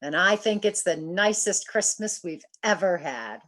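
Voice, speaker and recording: A woman playing a patient, loving wife in an old-time radio comedy, folksy and gently teasing. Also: fairly low pitch, expressive, unhurried. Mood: tender.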